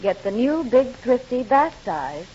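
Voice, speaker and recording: A female announcer reads a scripted 1940s radio commercial. Her voice is husky and persuasive, giving instructions, on a muffled old broadcast. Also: fairly high pitch, very animated, a little slow, warm tone. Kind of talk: scripted 1940s advertising read.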